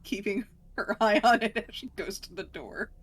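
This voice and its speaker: A woman's voice: medium pitch, moderate pace, husky. A woman playing a tabletop roleplaying game, chatting casually about what her character does.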